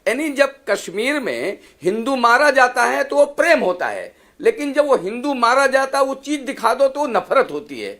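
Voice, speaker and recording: A man's voice: extremely high pitch, big pitch swings, moderate pace, hardly any pauses, a bit bright. A man speaking as a panelist in a political TV debate, emphatic, repetitive and accusatory. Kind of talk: polemical political debate speech.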